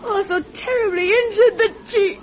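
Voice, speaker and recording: Fearful, emotional sister, extremely high fraught voice, classic radio acting, dull recording. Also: female voice, moderate pace. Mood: breaking down. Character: distraught, despairing woman afraid for her sister. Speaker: radio drama actor.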